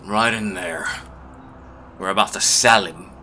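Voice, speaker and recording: A man's voice: low-pitched, a little slow, bright, forceful tone, faint music behind. A man acting as game master, chatting casually and answering players in a laid-back, rambling way. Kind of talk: casual chat running a tabletop game.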